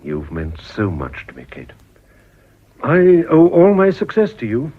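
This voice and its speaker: An actor with an English accent playing an earnest, ambitious Edwardian politician in a period radio drama. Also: male voice, a little high, wildly swooping pitch, unhurried, a little hiss or hum. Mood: tender, pleading. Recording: dull, slightly muffled old radio recording.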